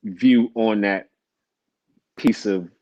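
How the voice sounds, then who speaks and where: Low-voiced man, folksy, slightly dull audio. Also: steady tone, extremely slow, dark tone, no room sound. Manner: folksy, colloquial, repeats phrases as he goes. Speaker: guest on a livestream chat. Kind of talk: casual chat.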